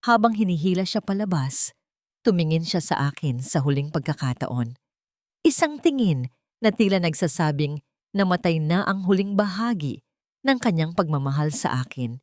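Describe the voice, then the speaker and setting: A woman's voice: on the low side, sing-song, moderate pace, darkish tone, dry recording, studio quality. A woman reading scripted dramatic narration as a storyteller.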